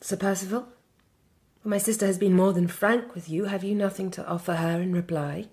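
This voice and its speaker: An actress playing a protective, anxious Victorian sister with an English accent, formal and direct in a period audio drama. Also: female voice, mid-range voice, steady tone, normal speed, a little mellow, lightly acted. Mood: urgent, pleading. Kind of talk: period radio drama acting. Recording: music clearly behind the voice.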